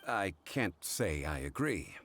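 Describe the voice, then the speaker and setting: A man voicing a calm, erudite, courteous gentleman in a video game, speaking in a measured, formal, polite way. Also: low voice, background noise, full character voice. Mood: calm disagreement.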